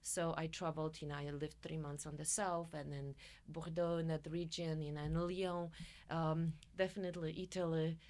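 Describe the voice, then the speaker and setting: A middle-aged woman with a Polish accent talks in a fairly low register. She is chatty and effusive, answering casually as a guest in an interview. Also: little pitch movement, moderate pace, darkish tone. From Poland. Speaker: podcast guest, musician and coach.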